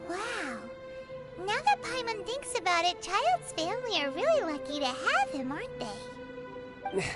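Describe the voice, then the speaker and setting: A tiny floating game companion, with a squeaky, very high voice that jumps all over the place. She is chirpy and nosy and speaks of herself in the third person. Loud game music plays behind her. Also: very animated, measured pace, exaggerated voice. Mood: amazed. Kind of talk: video game voice acting.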